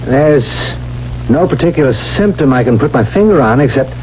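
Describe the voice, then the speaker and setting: Cautious doctor male actor, measured, sober, middle register, vintage radio mystery with muffled sound. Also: medium pitch, animated, darkish tone, natural voice. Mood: grave concern. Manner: measured, matter-of-fact. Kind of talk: 1970s radio drama acting. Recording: dull vintage radio recording, faint hum.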